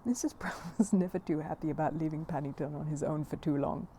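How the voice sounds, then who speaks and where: Woman, children's story narrator, soft mellow tone, expressive delivery, noticeable background noise. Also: low-pitched, quick pace. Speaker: audiobook reader.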